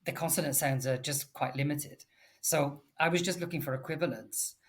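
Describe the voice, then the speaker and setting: A man in his forties from Wales, with a Welsh English accent, chatting casually as an English teacher, animated and full of fillers. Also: a little high, normal speed. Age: 40s. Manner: chatty and animated, lots of fillers and self-corrections. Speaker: English teacher and podcast guest. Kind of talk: casual conversational chat.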